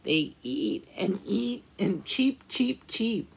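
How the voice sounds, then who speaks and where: Woman, storytime librarian, read-aloud, gentle questions, gravelly. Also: mid-range voice, sing-song, takes it slowly. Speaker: children's librarian. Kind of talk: reading a picture book aloud for storytime.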